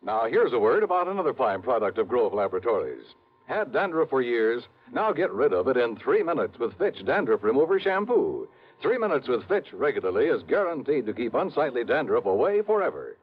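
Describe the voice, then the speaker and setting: A male announcer reading a brisk 1950s radio commercial on a tinny old broadcast. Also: mid-range voice, sing-song. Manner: brisk, selling.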